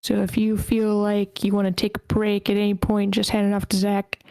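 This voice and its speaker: A woman's voice: medium pitch, monotone, normal speed, gravelly, darkish tone, no echo. A woman hosting a group session, talking informally and encouragingly as she organizes who takes which role. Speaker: host of a script table read. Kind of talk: casual organizing talk, casting roll call.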